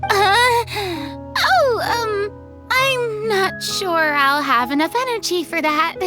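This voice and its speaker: A woman's voice: very high-pitched, very slow, full character voice. A woman voicing a polite, timid young woman in a fantasy game, earnest and a little hesitant. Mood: bashful. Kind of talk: video game character acting. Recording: background music behind voice.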